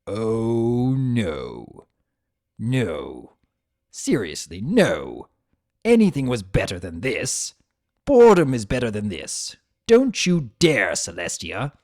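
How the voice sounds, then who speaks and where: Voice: medium pitch, huge pitch swings, slow, darkish tone, no room sound, clean studio sound, voice pushed down, full character voice. A man voicing an imprisoned male spirit of chaos in a story reading, pompous, sarcastic and bitter, with theatrical, seething delivery. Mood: outraged, indignant.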